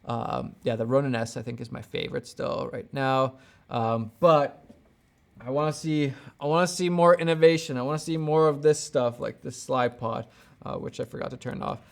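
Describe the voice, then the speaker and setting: A man with plenty of vocal fry talks casually as a livestream host. He thinks aloud and rambles, often doubling back on his own thought. His delivery is unscripted and conversational, like an online creator answering questions from viewers. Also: medium pitch, steady tone, normal speed. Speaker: camera gear YouTuber hosting a livestream.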